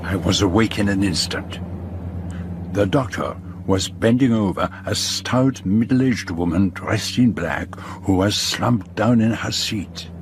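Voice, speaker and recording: An actor playing a fastidious, observant detective, narrating formally and precisely in a radio drama. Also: male voice, fairly low pitch. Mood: drowsy, musing. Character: fastidious, observant foreign male detective narrating his journey. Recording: steady aircraft cabin noise behind.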